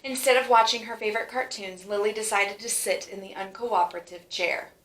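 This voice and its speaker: A woman reads a children's storybook aloud with animated delivery. Her voice is bright and forward, at a medium pitch, and she leans on key words. The room adds a clear echo. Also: brisk, a little hiss or hum. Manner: animated, stretches words for emphasis. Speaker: storybook reader. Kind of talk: expressive children's read-aloud. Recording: roomy, echoing sound.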